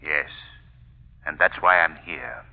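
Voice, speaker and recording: Eerie, commanding unseen avenger, tinny radio. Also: male voice, a bass voice, slow, vocal fry, heavily acted. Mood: ominous, taunting. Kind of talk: 1930s-40s radio mystery acting. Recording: tinny narrow-band vintage radio recording.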